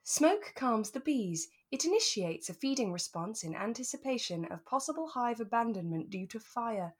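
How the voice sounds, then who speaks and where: A British woman co-hosting a relaxed chat podcast, giggly and self-mocking as she tells things in an animated way. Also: on the high side, normal speed, breathy edge. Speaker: podcast co-host. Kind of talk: relaxed chat about a radio drama.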